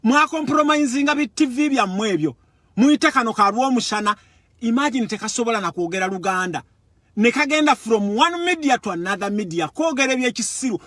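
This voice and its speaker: A Ugandan man speaks with a strikingly high, forceful voice. He delivers an impassioned political monologue, repeating words for emphasis and moving between languages. The sound is dry and close. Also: animated, a little fast, no room sound. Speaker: political commentator. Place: Europe.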